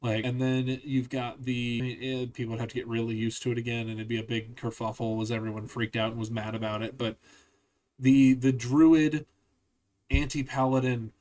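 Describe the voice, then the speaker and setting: A man hosts a casual chat, his voice sitting in an ordinary middle range. He talks in an animated, opinionated way and pokes fun at himself along the way. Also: medium pitch, normal speed, rough recording. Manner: animated, opinionated, self-deprecating. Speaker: podcast host.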